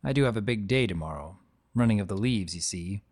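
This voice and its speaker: A man voicing an aged, gentle dragon in a dramatic reading, reflective and sentimental as he tells his own story. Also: fairly low pitch, expressive, brisk, voice pushed down. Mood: tender, quiet. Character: aged dragon, gentle, nostalgic, fondly guarding keepsakes.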